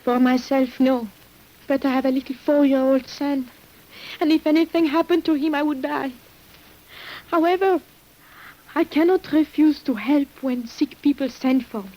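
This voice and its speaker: An actress playing a weary, secretive French nurse in an old radio drama, speaking formally and hesitantly with breathy sighs. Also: female voice, high voice, normal speed, dark tone, lightly acted, rough recording. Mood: earnest. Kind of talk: 1950s radio drama acting. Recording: hissy old radio recording.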